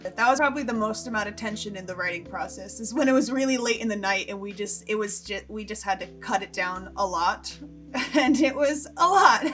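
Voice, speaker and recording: A woman hosting a casual group Q&A, an animated, giggly storyteller who often quotes herself. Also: mid-range voice, moderate pace, faint music behind. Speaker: host and writer of a fiction show.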